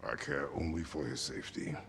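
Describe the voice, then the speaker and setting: An actor playing a stern, protective older warrior father in a video game, speaking tersely and gravely in a rumbling voice. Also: a little fast. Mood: brooding. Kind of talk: dramatic video game acting.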